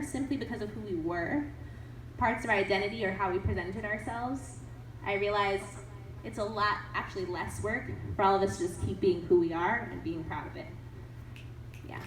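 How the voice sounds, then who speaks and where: A young woman author with a cold, answering audience questions in an animated, upbeat way, laughing often. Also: medium pitch. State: has a cold. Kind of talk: casual Q&A talk. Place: event hall. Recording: echoey room, mic from a distance, faint noise.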